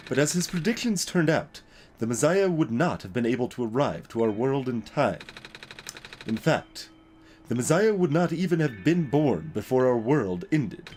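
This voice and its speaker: An earnest young male game character, acted by a man, speaks carefully and formally, often halting. The delivery swings widely in pitch, and music plays behind the voice. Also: a little mellow. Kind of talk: voiced reading of video game dialogue.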